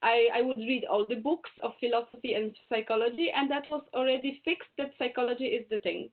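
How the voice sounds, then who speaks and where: A woman's voice: a little high, fairly even intonation, a little fast. Woman on a tinny video call. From Poland. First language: German. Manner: chatty, self-correcting, laughs easily. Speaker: guest speaker. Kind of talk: webinar talk.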